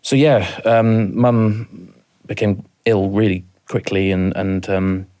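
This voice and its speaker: A man with a Welsh accent from rural mid Wales, in his thirties, telling a painful personal story as a podcast guest, halting and repeating words as he goes. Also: fairly low pitch, fairly even intonation, warm tone.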